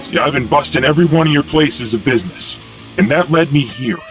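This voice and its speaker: A man's voice: a little high, lively intonation, brisk, loud music behind the voice, lightly acted. A man playing a cocky, menacing young superhero vigilante in an audio drama, taunting and threatening.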